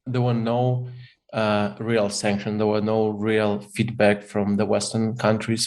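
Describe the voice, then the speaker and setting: A young man, speaking English in a measured, casual way. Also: fairly low pitch, a little mellow. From Ukraine. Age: young adult. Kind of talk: casual conversation.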